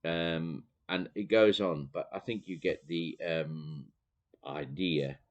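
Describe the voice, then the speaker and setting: A man with a Dutch accent, reading from a theology book and pausing to explain, with many fillers and restarts. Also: deep voice, fairly even intonation, lo-fi sound. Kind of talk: reading aloud with commentary.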